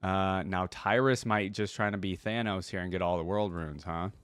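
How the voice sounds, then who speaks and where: A man's voice: sits low, fairly even intonation, moderate pace, a bit bright, clear, resonant tone, dry recording. A man reading stories aloud and giving casual, chatty commentary as a reaction host. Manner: informal, chatty.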